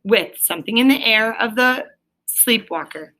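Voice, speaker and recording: Bright-voiced woman reading a story aloud. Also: fairly high pitch, animated, moderate pace. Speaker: storybook reader. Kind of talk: expressive read-aloud narration with asides to a child.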